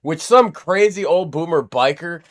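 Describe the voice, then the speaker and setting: Middle-aged new england guy rambling and swearing, kinda high voice, casual podcast chat. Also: lively intonation, unhurried. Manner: profane, rambling storyteller. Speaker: podcast host.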